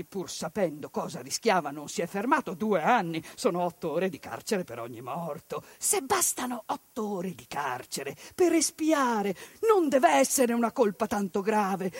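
A woman performs a theatrical monologue with bitter irony. Her voice sits at a middle pitch. The recording is dry and close. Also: dry recording. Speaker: stage performer.

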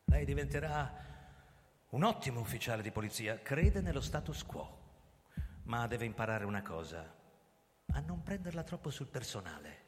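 An actor playing an embattled, commanding police commissioner in a radio drama, theatrical and sardonic, worldly-wise in manner. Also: male voice, medium pitch, very animated, normal speed, lightly acted. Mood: resigned, advising. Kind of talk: radio drama acting and first-person narration. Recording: reverberant stage sound, loud music behind. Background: music.

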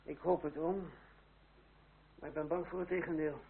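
Wry, proud young republican gentleman, slightly high voice, formal period radio drama, narrow old recording. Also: fairly even intonation, gravelly. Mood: wry, resigned. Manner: formal, sardonic, measured. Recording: old muffled radio play, hum, narrow band.

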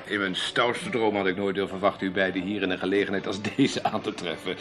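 A man playing a suave, over-courteous gentleman in a radio drama, with florid, drawn-out politeness. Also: low-pitched, fairly even intonation, natural voice. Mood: effusive.

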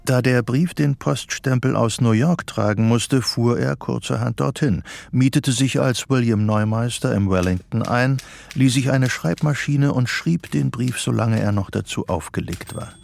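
An actor narrating a psychological thriller radio drama in sober, scripted literary prose. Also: male voice, mid-range voice, a little slow, gravelly, a little mellow. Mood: sober, measured.

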